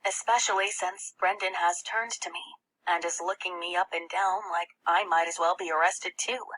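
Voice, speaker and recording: A woman reading a children's novel aloud in the first person, as an expressive audiobook narrator. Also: on the low side, normal speed, low-quality recording. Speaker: audiobook reader.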